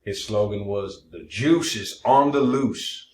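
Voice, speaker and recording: Low-pitched, dryly teasing man, echoey. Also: lively intonation, slow, a little mellow. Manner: dry, teasing wisecracks. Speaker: comedy podcast co-host. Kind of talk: casual banter. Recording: roomy, echoey podcast sound.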